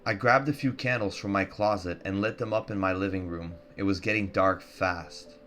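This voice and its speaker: A man's voice: lowish voice, fairly bright tone. A man reading a first-person horror story in a tense, dramatic, scripted narration style.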